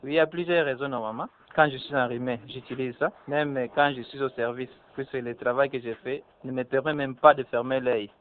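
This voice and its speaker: A young man, explaining in a hesitant, halting way. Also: medium pitch, fairly even intonation. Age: young adult. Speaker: interviewee. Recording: narrow phone-like band.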